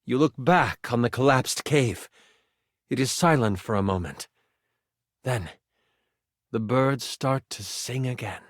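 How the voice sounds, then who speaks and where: A man voicing an excitable twenty-sided die in a video game, narrating a fantasy tabletop adventure as a dramatic, expressive game master. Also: mid-range voice, slow, long pauses, no echo, clean studio sound. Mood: urgent storytelling. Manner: theatrical, expressive storytelling with sighs and asides.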